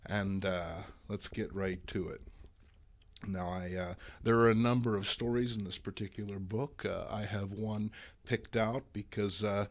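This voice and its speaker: A man's voice: lowish voice, steady tone, measured pace. A man hosting a dramatic comic book reading, speaking in a theatrical, mock-grandiose way. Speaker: host and comic book reader. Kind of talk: theatrical introduction and dramatic storytelling.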